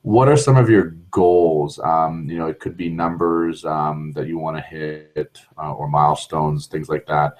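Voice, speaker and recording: A man's voice: very deep voice, fairly even intonation, measured pace, hardly any pauses, a little mellow. A man hosting a podcast, asking questions in a friendly casual way with many fillers. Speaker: podcast host.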